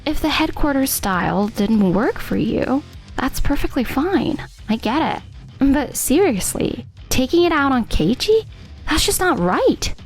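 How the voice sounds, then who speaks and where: A woman's voice: sits high, big pitch swings, unhurried, faint music behind, full character voice. A woman voicing a protective, outspoken young office coworker in an English manga dub, assertive and ready to scold. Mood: indignant, protective.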